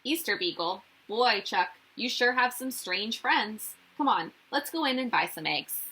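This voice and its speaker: A blunt, bossy tomboy girl from a children's storybook, acted out by a woman reader. She is easily exasperated and emphatic, with a bright, slightly husky voice that swings up and down. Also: on the high side, lively intonation, unhurried, exaggerated voice, poor audio.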